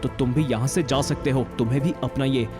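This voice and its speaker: A man's voice: medium pitch, fairly even intonation, a little fast, lightly acted. A man playing a dignified young son-in-law in an audio drama, polite but firm, over music. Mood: firm, indignant. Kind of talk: Hindi audio drama acting. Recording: loud music bed behind voice.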